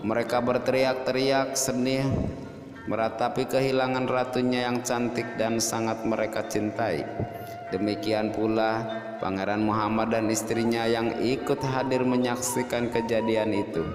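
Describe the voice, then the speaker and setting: A man narrating a scripted folk tale as a storyteller, in a measured way with dramatic pauses and drawn-out key words. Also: mid-range voice, level tone. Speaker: storyteller and host. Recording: echoey long reverb, music behind. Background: music.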